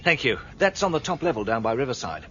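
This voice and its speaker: An actor playing a determined male police inspector who talks in a brisk, clipped, commanding way in a radio drama. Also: mid-range voice, big pitch swings, pushed and bright, a little hiss or hum. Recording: dull lo-fi radio play recording.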